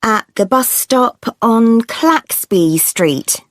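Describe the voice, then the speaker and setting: Obliging, unhurried woman bus passenger, acted by a woman. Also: fairly high pitch, lively intonation, no echo, studio quality. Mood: chatty. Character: friendly woman bus passenger answering a survey. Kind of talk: scripted everyday dialogue for a listening test.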